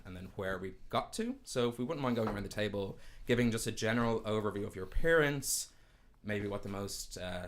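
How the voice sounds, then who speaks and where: A man, the game master, narrating a fantasy campaign with a storytelling manner and playful asides. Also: fairly low pitch.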